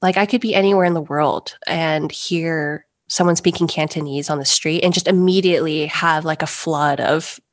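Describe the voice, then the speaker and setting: A young woman and pastry chef answering interview questions earnestly, with soft laughs between thoughts. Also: low-pitched, steady tone, normal speed, hardly any pauses, no room sound, clean studio sound. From Los Angeles area, US. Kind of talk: reflective interview talk.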